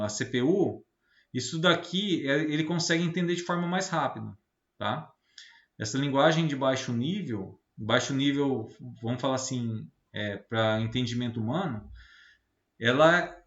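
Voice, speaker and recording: A man's voice: medium pitch, fairly bright tone. A Brazilian man giving an informal technical lecture as a teacher, explaining didactically and often adding short tag questions to check understanding. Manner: didactic, with frequent tag questions seeking agreement. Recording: roomy, slightly muffled lo-fi sound.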